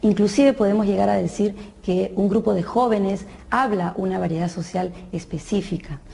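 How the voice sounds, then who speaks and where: A woman expert giving a measured explanation, pausing often with "eh". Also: mid-range voice, fairly even intonation, creaky, mellow. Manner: measured, frequent "eh" pauses. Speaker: linguistics expert.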